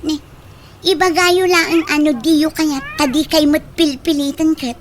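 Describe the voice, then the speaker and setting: A woman's voice: sits high, steady tone, measured pace. A woman playing a grasping, entitled grown daughter in a radio drama, with a Filipino accent. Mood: demanding. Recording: noticeable background noise.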